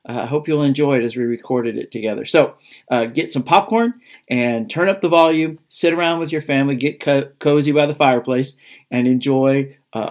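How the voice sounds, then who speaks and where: Man, creaky mid-pitched voice, informal introduction, halting, explanatory. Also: a little mellow. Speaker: school staff member introducing a show. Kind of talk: informal spoken introduction to camera.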